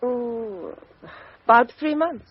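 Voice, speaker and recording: Radio drama young woman: British, frank, self-possessed, husky, very animated intonation. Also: fairly high pitch, takes it slowly, dry recording, full character voice. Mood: composed. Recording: dull, lo-fi radio drama recording.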